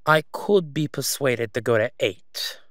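Casting: male actor as menacing mob boss for audio roleplay, oily, smug, mid-pitched, very dry close sound. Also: lively intonation, dry recording, lightly acted. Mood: haggling. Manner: oily, taunting, drawn-out delivery with stalling pauses. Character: sleazy, menacing Gotham crime boss, a man, smugly haggling. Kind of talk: solo audio roleplay acting.

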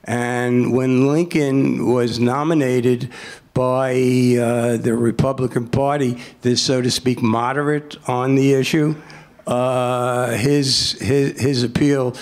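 An older man speaking as a panelist in an informal lecture style, halting and repeating words for emphasis, at a table microphone. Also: mid-range voice, steady tone. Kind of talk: informal lecture, answering audience questions.

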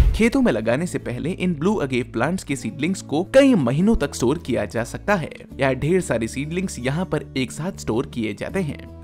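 Lively male documentary voice-over. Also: a little high. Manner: addresses viewers as friends, explanatory. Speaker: documentary narrator. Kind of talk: scripted voice-over narration. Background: soft background music.

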